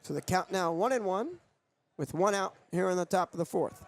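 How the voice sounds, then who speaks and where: A man's voice: sits high, lively intonation, moderate pace. A young man doing sports broadcast commentary as a play-by-play announcer and reporter. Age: young adult.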